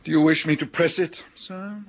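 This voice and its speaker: An actor playing an unflappable, deferential English valet with received pronunciation and a measured, dry, formal manner in a radio comedy. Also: male voice, on the high side, fairly even intonation. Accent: British received pronunciation. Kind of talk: broadcast radio comedy acting.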